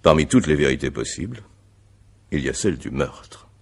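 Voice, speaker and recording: A man playing an examining magistrate in a radio mystery, courteous but insistent, with formal, deliberate phrasing. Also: extremely low pitch, sing-song, gravelly, darkish tone, husky, heavily acted. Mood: grave, insistent. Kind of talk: French radio mystery drama acting.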